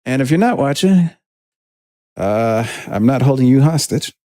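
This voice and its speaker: A man with a deep voice chatting casually on a livestream while he makes music, laid-back and rambling as he reads viewer comments. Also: lively intonation, measured pace, mellow, no room sound, studio quality. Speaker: music producer streaming his session.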